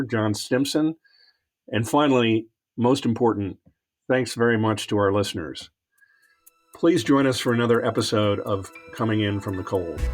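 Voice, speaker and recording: A man hosting an interview podcast, asking questions with friendly, dry asides. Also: medium pitch. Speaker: podcast host.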